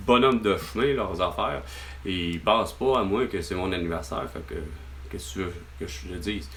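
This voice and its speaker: A man's voice: sits low, pushed and bright, slight background noise. A man with a Québécois accent, venting to his mother on a phone call, rambling and exasperated, swearing often. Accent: Québécois French. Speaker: vlogger.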